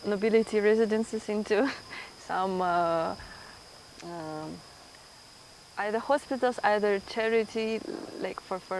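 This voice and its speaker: A woman whose first language is Russian hosts a podcast in English, speaking at a middle pitch and often stopping to hunt for the right word. Faint insect chirping runs quietly behind her. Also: slight background noise, poor audio. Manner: hesitant, searches for words with many fillers. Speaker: podcast host. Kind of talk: conversational interview.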